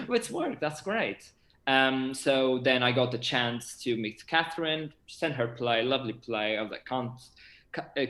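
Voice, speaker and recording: A man's voice: mid-range voice, bright, forceful tone. A young man chats as a theatre director being interviewed. His manner is effusive and enthusiastic, and the sound is that of a video call from an echoey room. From Portugal. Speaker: guest, theatre director and actor.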